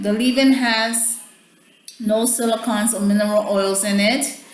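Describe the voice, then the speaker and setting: A woman whose first language is Spanish, talking casually to viewers about products she bought and often checking in with "okay?". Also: on the high side. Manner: chatty, keeps checking in with "okay?". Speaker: beauty vlogger. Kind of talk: casual product haul chat. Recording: echoey room, faint background hum.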